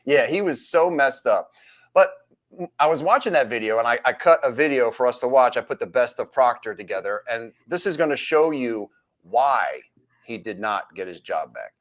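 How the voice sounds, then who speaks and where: A man's voice: mid-range voice, expressive, normal speed, a bit bright. A man co-hosting a live stream, chatty and easygoing, telling stories from his years as a police officer. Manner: chatty, storytelling, laughs easily. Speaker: co-host, former police officer. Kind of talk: casual live-stream chat.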